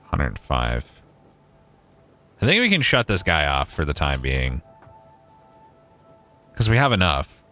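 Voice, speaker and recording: Low voice, lively intonation, canadian maritime accent, casual streamer chatter, faint music behind. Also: male voice, slow pace, long pauses. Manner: chatty, swears casually, thinks aloud while playing. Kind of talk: casual gameplay livestream chat.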